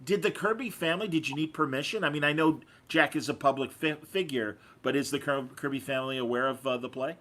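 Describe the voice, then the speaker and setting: A man's voice: on the high side, quick pace, hardly any pauses, a bit bright. A man hosting a podcast in casual interview chat, with an enthusiastic, effusive manner. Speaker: podcast host.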